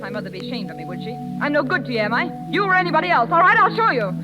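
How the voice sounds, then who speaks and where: An actress playing a headstrong, heartbroken young circus rider in a 1930s radio drama. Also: female voice, high voice, expressive, very fast. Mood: defiant, desperate. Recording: muffled 1930s radio recording, loud music.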